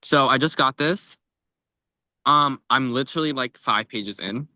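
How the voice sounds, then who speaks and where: A man's voice: medium pitch, unhurried, bright, forceful tone, no echo, clean studio sound. A man co-hosting a book podcast in casual, informal chat, often padding his phrases with "like". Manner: informal, tentative phrasing with frequent "like". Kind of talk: casual chat about books.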